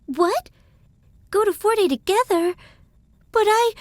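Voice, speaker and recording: A woman playing a gentle, selfless teenage village girl in a video game dub, sincere, soft-hearted and apologetic in manner. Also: very high-pitched, expressive, slow pace, dry recording, studio quality, heavily acted. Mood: surprised.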